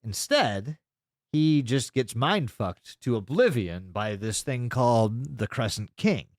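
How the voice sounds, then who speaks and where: A man's voice: medium pitch, big pitch swings, slow pace, no echo, clean studio sound. A man co-hosting a podcast, casually retelling a story in a rambling, sweary way. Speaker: podcast co-host.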